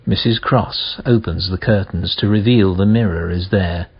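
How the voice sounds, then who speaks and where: A man's voice: low voice, moderate pace, husky, no room sound, dull audio. A man reading scripted scene narration for a radio play.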